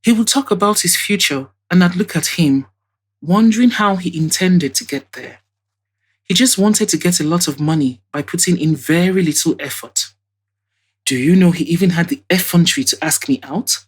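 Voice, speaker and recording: A chatty, scornful woman giving her account in an audio drama, played by a female reader. Her voice is low and husky. She speaks emphatically, with indignant asides. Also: moderate pace. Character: Nkiru, chatty, scornful witness, a housekeeping woman.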